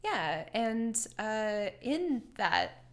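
A woman's voice: medium pitch, big pitch swings, extremely slow, a bit bright. A woman co-hosting a podcast in casual chat, with a profane and giggly manner. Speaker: podcast co-host. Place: living room.

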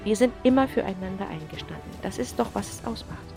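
A woman's voice: medium pitch, animated, fast, creaky, over music. A woman reading aloud and voicing an affectionate young woman talking eagerly to her brother.

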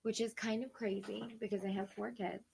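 A woman's voice: medium pitch, flat delivery, moderate pace, creaky, a little mellow, a little hiss or hum. A woman in her thirties hosting a casual livecast while sick and losing her voice, rambling and laughing, sometimes dropping to a whisper. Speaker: livecast host. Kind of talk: casual chat.

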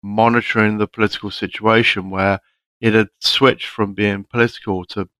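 A man who worked as an international monitor, telling his experiences in a measured, plainspoken way on a call. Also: lowish voice, level tone, a bit bright, no echo. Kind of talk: recounting experiences in conversation. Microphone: call microphone.

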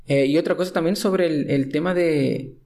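A man's voice: on the high side, normal speed, vocal fry, a little mellow. A young man from Chile with a Chilean accent, hosting a casual podcast conversation, hesitant and full of restarts. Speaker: podcast host.

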